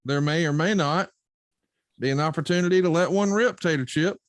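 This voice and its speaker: A man's voice: fairly high pitch, brisk, no echo, clean studio sound. A man hosting a podcast in a casual, conversational style, explaining things with a wry touch. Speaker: podcast host reading listener questions.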